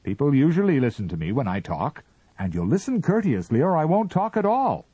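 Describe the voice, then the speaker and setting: A pompous, peevish Martian official in a storybook reading, rattling off complaints in a raised, huffy voice, as a man puts him on. He lectures sarcastically, quick and fussy. The sound is dry and close. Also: fairly high pitch, very animated, dark tone, slightly rough, no room sound, pitched above the performer's own voice. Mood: peevish lecturing.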